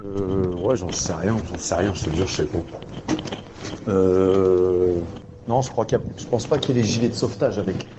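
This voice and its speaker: A man's voice: deep voice, steady tone, normal speed. A man chatting over CB radio with crude, mocking banter and frequent laughter. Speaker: CB radio caller. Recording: muffled CB radio with static.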